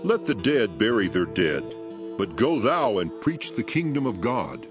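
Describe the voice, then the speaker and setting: An actor playing an earnest, authoritative male teacher in a dramatized scripture reading, solemn and emphatic in his measured declarations. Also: lowish voice, sing-song. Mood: firm. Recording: music behind the voice.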